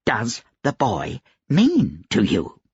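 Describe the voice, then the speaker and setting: A man voicing a cold, educated gentleman lord in an audiobook, quiet and steady, calmly threatening. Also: fairly low pitch, slightly rough, dry recording, muffled recording, heavily acted. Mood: cold menace. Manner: calm, collected, deliberate threats. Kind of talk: audiobook character dialogue.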